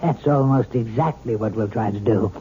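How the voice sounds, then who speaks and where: An actor playing a calm, patient doctor and hypnotist who speaks in a soothing, slow, coaxing way, in a 1970s radio drama. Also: male voice, fairly low pitch, slightly rough. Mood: explaining. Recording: tinny old radio broadcast.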